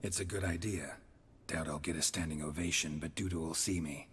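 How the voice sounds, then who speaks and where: A gruff fantasy witcher from a video game. His voice is deep and extremely husky, almost gravel. He speaks in short, dry, understated lines with long reverb around them. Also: steady tone, fairly quick, full character voice. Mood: calm, dry. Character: gruff, laconic male witcher with cat eyes. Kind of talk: video game dialogue acting.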